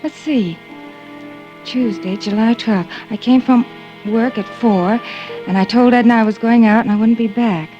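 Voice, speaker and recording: A woman's voice: fairly high pitch, moderate pace, warm tone, dull audio, natural voice. An actress playing an anxious, forgetful young working woman, fretting and questioning herself in an old radio thriller. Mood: puzzled, anxious. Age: young adult. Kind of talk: 1940s-50s radio thriller acting, inner monologue and dialogue. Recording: old radio drama, music underneath.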